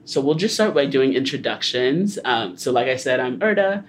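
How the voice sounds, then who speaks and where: A young man from Haiti hosting a student podcast, talking in a relaxed way with small laughs and fillers. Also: mid-range voice, normal speed, a little hiss or hum. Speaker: podcast host.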